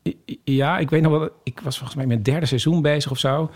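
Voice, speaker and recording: A man's voice: fairly high pitch, fast. Man chatting modestly. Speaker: podcast maker, guest on the show. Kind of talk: casual chat, telling anecdotes.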